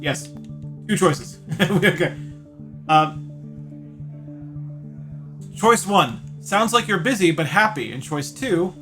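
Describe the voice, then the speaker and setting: A man's voice: high-pitched, expressive, slow, long pauses, bright, forceful tone. A man hosting a livestream playthrough, chatting casually and playfully with viewers. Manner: playful, talks to chat by name. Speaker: livestream host playing a visual novel. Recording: game music behind. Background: game music.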